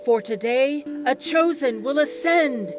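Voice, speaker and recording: A woman playing a devout island priestess in an audio drama, telling sacred history as solemn, reverent, measured oratory. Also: high voice, noisy background. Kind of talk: ceremonial storytelling in an audio drama.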